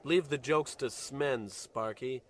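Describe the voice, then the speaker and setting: An actor playing a gung-ho, overdramatic Cold War space captain in a parody radio play. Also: male voice, medium pitch, slow pace, crowd noise, close to an everyday voice. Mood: dramatic. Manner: grave, overdramatic. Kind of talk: parody radio sci-fi drama.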